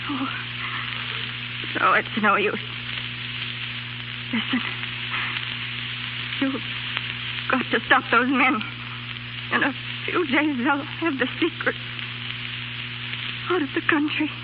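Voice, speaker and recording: Casting: frightened young female spy, high voice, urgent and secretive, dramatic 1930s radio style, muffled noisy broadcast. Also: slow, pauses often. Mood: weak, terrified.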